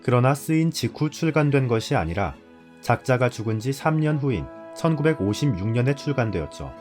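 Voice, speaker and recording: A man's voice: mid-range voice, sing-song, brisk, a little mellow, faint music behind. A man hosting and reading a scripted narration. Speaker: host.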